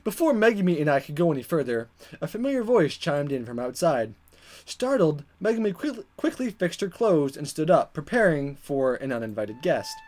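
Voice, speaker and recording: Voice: mid-range voice, sing-song, normal speed, a little mellow. A gaming video narrator reading a visual novel aloud, stumbling over lines and chuckling along. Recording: music under the voice. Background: game music.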